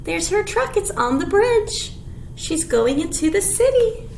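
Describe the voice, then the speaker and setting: A woman presents a children's storytime in a very high, sing-song voice. Her pitch swoops widely and she takes her time, phrasing simply for little listeners. The room sounds echoey. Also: big pitch swings, measured pace. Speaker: children's librarian hosting storytime. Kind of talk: children's storytime read-aloud with songs and rhymes. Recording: echoey room, clear background noise.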